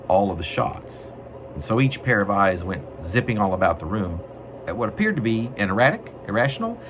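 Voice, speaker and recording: A man with a mid-range voice that swings widely in pitch speaks as a poet presenting his own work. His phrasing is deliberate and emphatic. The recording is amateur, with clear background noise. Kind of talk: dramatic poetry reading with casual spoken introduction.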